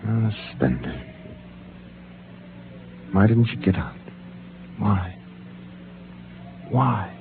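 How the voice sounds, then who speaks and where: A stern, torn ship captain. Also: male voice, lowish voice, sing-song, drawn-out words, long pauses, noisy background, full character voice. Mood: tense. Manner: firm, controlled, grieving delivery. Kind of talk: 1950s radio drama acting. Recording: tinny 1950s radio transcription with music.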